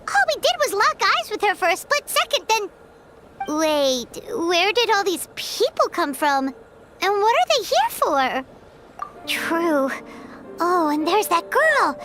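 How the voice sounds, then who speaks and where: A woman voicing a tiny, curious fairy companion in a video game, with a high childlike voice and an excitable, chatty manner who talks about herself in the third person. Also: takes it slowly, music in the background, full character voice.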